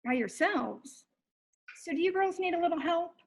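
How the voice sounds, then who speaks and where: An actress reading the part of a chatty, overly helpful suburban mom at a table read. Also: female voice, very high-pitched, lively intonation, moderate pace, slight background noise. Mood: chirpy.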